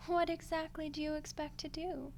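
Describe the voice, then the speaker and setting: A woman voicing an ancient female archdemon in a roleplay ASMR monologue, playful, condescending and coolly menacing, in an unhurried delivery with whispery touches. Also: high-pitched, little pitch movement, a little hiss or hum. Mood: amused, smug.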